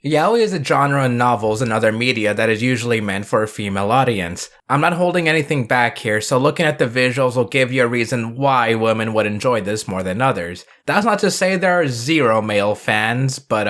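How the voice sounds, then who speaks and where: A man's voice: mid-range voice, animated, moderate pace, fairly bright tone. A man narrating a scripted review as commentary, in a casual manner with wry asides. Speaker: video reviewer.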